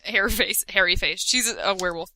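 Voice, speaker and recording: A young woman co-reading a silly story, tossing in slow, laughing quips. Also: medium pitch, pushed and bright, no echo. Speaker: co-reader. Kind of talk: casual joking chat.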